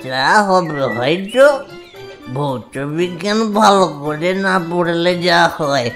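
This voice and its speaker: Woman playing a drunk middle-aged cartoon man, low-pitched, slow drawl, muddled, sentimental, faint music behind. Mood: matter-of-fact. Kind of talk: cartoon comedy dialogue.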